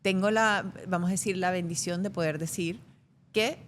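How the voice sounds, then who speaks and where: Low-voiced Venezuelan woman, reflective. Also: steady tone, normal speed. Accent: Venezuelan Spanish. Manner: thoughtful, with frequent hesitations and restarts. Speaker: guest. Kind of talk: reflective interview conversation. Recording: faint background rumble.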